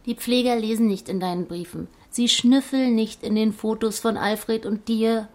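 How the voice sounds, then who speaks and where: A woman's voice: fairly high pitch, fairly even intonation, normal speed, a little mellow, natural voice. A woman playing a small, blunt, teasing bird who keeps an old widow company in a German radio drama. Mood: needling. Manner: blunt, pert, needling.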